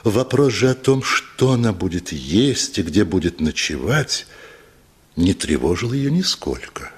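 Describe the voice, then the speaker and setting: Husky, expressive male storyteller. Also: low-pitched, measured pace. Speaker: narrator. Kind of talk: scripted literary narration in a radio play.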